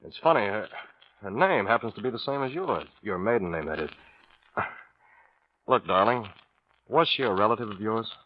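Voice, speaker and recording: A low-voiced, uneasy husband in a vintage radio play. He speaks haltingly, suspicious but apologetic, on a dull old recording. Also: animated. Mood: hesitant, uneasy. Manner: hesitant, halting. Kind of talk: 1940s American radio drama acting.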